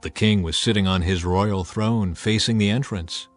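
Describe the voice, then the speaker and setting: A man giving a dramatized scripted narration of scripture, with solemn, deliberate phrasing. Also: on the low side. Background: faint music.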